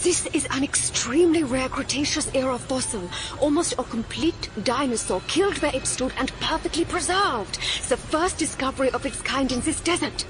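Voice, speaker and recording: A woman archaeologist played by an actress speaks in a high, urgent voice. She is precise and protective of her dig, in an audio drama scene with music clearly behind her. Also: moderate pace, few pauses, natural voice. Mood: earnest.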